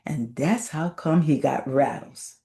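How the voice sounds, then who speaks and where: Lady storyteller reading folktales, low mellow voice, takes her time. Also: slow pace.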